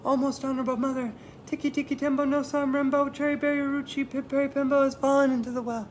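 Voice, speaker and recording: A man's voice: very high voice, flat delivery, fast talker, a little hiss or hum, voice pushed up. A man voicing a small, frantic boy pleading urgently in a storybook reading, calling out breathlessly. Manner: shouting long chant-like names breathlessly.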